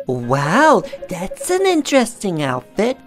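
A performer voicing a friendly, chirpy female hotel receptionist in a video game, polite and drawn-out. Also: medium pitch, huge pitch swings, soft background music, voice pushed up. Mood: friendly, curious.

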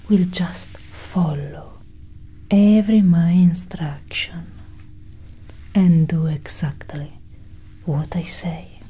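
A woman voicing a cool, curious female alien abductor in an ASMR roleplay, whispering softly and condescendingly close to the microphone. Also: sits low, exaggerated voice, poor audio. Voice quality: breathy, husky whisper. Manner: slow, soft, condescending, intimate.

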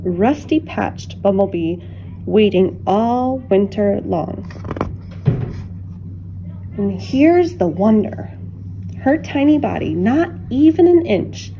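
A woman reading a children's picture book aloud with deliberate, expressive phrasing and dramatic pauses. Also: medium pitch, highs cut off, slight background noise. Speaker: children's storybook reader.

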